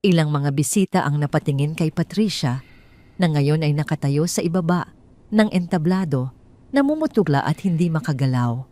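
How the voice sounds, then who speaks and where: A woman's voice: low-pitched, expressive, moderate pace, mellow, no room sound. A woman narrating a scripted story in a dramatic storytelling style.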